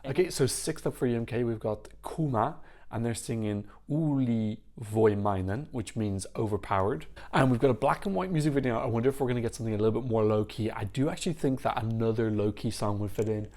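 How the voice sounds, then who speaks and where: A man giving casual, excitable reaction commentary on songs, swearing freely as he chats. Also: medium pitch, brisk. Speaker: Eurovision reaction YouTuber. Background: faint music playing.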